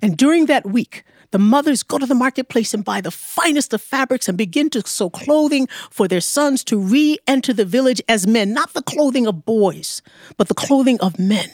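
A woman telling a folktale aloud as a storyteller, in measured, deliberate phrases. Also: a little high, lively intonation, no echo, clean studio sound. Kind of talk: live oral storytelling of a folktale. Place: performance studio before an audience.

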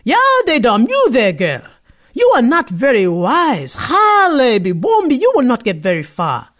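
A talking cooking pot calling after a girl with loud, chiding warnings, as a woman storyteller puts it on. The voice lifts a bit above her own and rings with disapproval. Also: a little high, wildly swooping pitch, a little slow, pitched above the performer's own voice, exaggerated voice.

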